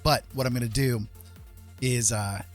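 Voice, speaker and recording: A man's voice: mid-range voice, fairly even intonation, unhurried, soft background music. A man hosting a casual art livestream, chatting in a rambling, folksy way. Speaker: livestream host and artist. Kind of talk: casual livestream chat while making art.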